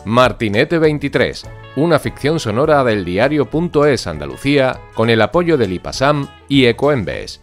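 Casting: male drama narrator, medium pitch, very varied intonation, scripted delivery over a guitar bed. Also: very animated, normal speed. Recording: prominent guitar music bed. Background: guitar music.

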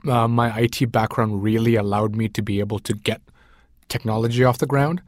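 A man's voice: lowish voice, fairly even intonation, a little fast, no room sound, clean studio sound. A man in his forties from Sri Lanka, a food writer, chatting as an interview guest, with frequent ums and uhs. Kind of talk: casual interview conversation.

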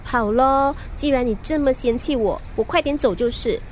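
A young woman acting a spirited daughter in a radio drama, lively and a little pouty. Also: sits high, moderate pace, a little hiss or hum, close to an everyday voice. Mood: teasing. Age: young adult.

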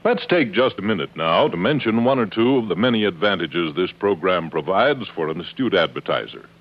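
Male 1950s announcer, polished scripted pitch, lively swooping intonation, muffled period recording. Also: fairly quick, slightly rough. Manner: polished, declamatory. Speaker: announcer pitching a program to advertisers. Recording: dull 1950s radio recording.

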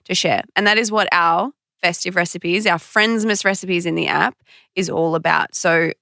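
A woman's voice: on the low side, steady tone, moderate pace, gravelly, bright, forceful tone, no room sound, clean studio sound. A woman co-hosting a casual podcast in a chatty, informal, playful way. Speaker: co-host.

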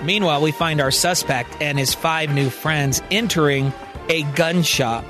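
A man's voice: fairly high pitch, unhurried. A man narrating a scripted science-fiction serial as a dramatic storyteller. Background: music.

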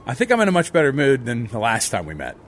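Actor as humble man with lively, swooping pitch. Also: fast, slight background noise. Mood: warm, apologetic. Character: recovering formerly homeless man, humble and friendly. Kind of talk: audio drama dialogue.

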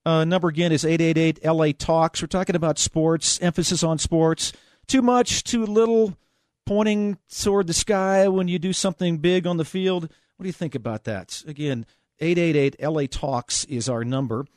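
An American man hosts a talk radio call-in show. His voice sits a little higher than most men's. The sound is dry and close, and he talks in a conversational sports-radio style. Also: steady tone, normal speed, a little mellow, dry recording.

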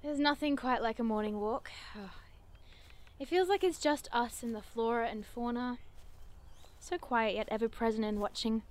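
A high-pitched, inquisitive young woman, voiced by an actress in an audio drama. She talks in precise, wordy bursts, keen and coaxing, like natural banter between road-trip companions. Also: normal speed, a little mellow, close to an everyday voice. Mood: cheerful, coaxing. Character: eager, curious young woman, nerdy cryptid-chasing road-tripper.

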